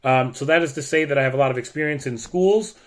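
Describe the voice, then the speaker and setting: A middle-aged man from the United States talks straight to the camera in a loud, blunt voice. He sounds frustrated and emphatic as he vents. His pitch sits in the middle range. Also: fast. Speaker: teacher. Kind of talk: warning vlog rant.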